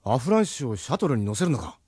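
Fast-talking resistance man. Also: medium pitch, big pitch swings, a little mellow, slightly rough, dry recording, studio quality. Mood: terse. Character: brisk, pragmatic, reassuring male resistance comrade. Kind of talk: anime audio drama acting. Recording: voice under music.

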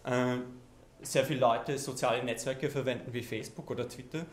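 A man's voice: medium pitch, fairly even intonation, a little fast. A man, giving a loose, conversational keynote talk with many ums and restarts in a lecture hall. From Austria. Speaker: keynote speaker. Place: lecture hall with projection screen. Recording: echoey lecture hall, faint noise.

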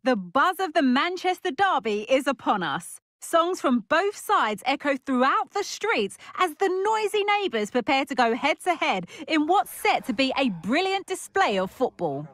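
Hyped football TV presenter, woman, very lively intonation, high voice, emphatic, sports game broadcast. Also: moderate pace, few pauses, no echo, clean studio sound. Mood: excited anticipation. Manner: dramatic, hyped, emphatic delivery. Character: excited female TV football presenter hyping matches. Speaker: sports commentator. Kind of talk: scripted pre-match football commentary. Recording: clear stadium ambience behind voice.

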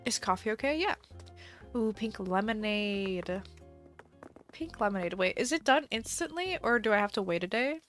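A woman's voice: mid-range voice, lively intonation, moderate pace, a bit bright. A woman live streaming a game in casual chat, chatty and giggly, her voice slightly stuffy from a cold. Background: faint background music.

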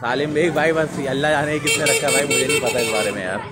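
A man's voice: a little high, animated, fast. A man hosting a live stream outdoors, greeting viewers by name as he talks through the scene. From Pakistan. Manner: chatty, greets viewers by name, repeats mashallah. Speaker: livestream host. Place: city street outdoors. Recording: busy street noise and crowd chatter.

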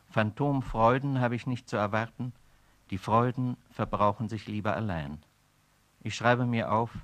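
A man's voice: lowish voice, natural voice. An actor in a radio play delivering a lonely man's reflective, literary inner monologue about phantom pains. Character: lonely man brooding over phantom pains.

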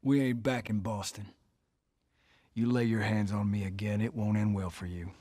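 A man's voice: fairly low pitch, steady tone, normal speed, dark tone, close to an everyday voice. An actor playing an earnest, wary middle-aged family man in a survival video game, plain-spoken with a Southern US drawl. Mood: resentful. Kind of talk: cinematic video game acting. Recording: music behind the voice.

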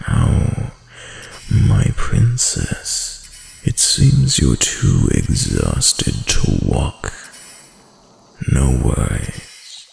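Man playing a very deep, gravelly, possessive dark prince. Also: slight background noise, heavily acted. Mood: soothing, hypnotic. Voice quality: very deep, gravelly, breathy, often whispering. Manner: slow, commanding, silky, lingering pauses. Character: dominant, possessive dark-magic prince, seductive sinister villain. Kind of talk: intimate audio roleplay monologue, slow and hypnotic.

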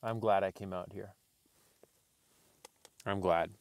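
A man in his late twenties, cold, vlogging from inside a small tent on a snowy summit in a thoughtful, candid, self-deprecating way, with halting restarts. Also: deep voice, steady tone, darkish tone. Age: late 20s. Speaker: outdoor filmmaker. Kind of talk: casual reflective vlog narration.

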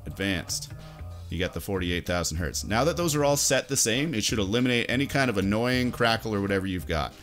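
A man in his thirties giving a casual, informal software tutorial, explaining step by step at a close desk microphone. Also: fairly low pitch, expressive, brisk, faint music behind. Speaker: tutorial host. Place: indoors at a computer desk.

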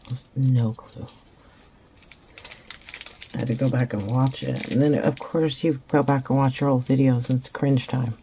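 A woman hosting a resin craft video, raspy after a concert, chatting in a rambling, self-deprecating way while she works. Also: rumbling low, mellow, slight background noise. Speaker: resin craft channel host. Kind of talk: casual chat while crafting. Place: craft table indoors.

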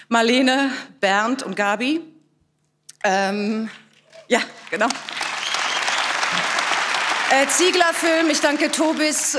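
An excited woman in her forties speaks at a stand microphone on a big theatre stage, slow and halting as she thanks people. Her voice carries a long hall reverb, with audience noise behind her. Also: medium pitch. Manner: breathless, excited, laughing, with many fillers. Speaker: award winner giving acceptance speech. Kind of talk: emotional thank-you speech. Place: large theatre stage at an awards gala.

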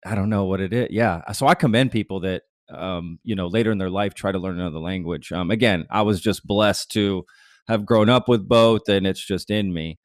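A man's voice: deep voice, moderate pace, dry recording. A man in his thirties hosting a podcast interview, chatting casually and enthusiastically with plenty of fillers, recorded on a large studio mic. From grew up bilingual, mother from Mexico City. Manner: enthusiastic, lots of fillers and repeated "that's cool". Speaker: podcast host. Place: small studio set. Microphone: large mic on boom arm.

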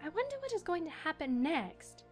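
A woman's voice: extremely high pitch, very animated, fairly quick, soft background music. A woman playing a curious, polite, outspoken little girl in a storybook audio drama.

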